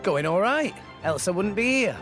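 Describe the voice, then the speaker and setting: An actor voicing an eager, energetic young salvager. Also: male voice, high voice, normal speed, faint music behind. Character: cheerful young male salvager. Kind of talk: video game voice acting.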